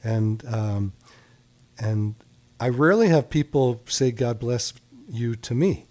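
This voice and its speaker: A man's voice: medium pitch, lively intonation, slow pace, warm tone. A man hosting a radio call-in show as a doctor, chatty and reassuring with callers.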